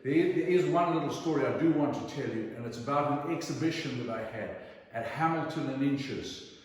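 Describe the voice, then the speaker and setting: A middle-aged man from Zimbabwe telling personal anecdotes in a theatrical, emphatic way with dramatic pauses. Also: medium pitch, darkish tone. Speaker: storyteller. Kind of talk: animated anecdotal storytelling. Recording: echoey hall, distant microphone.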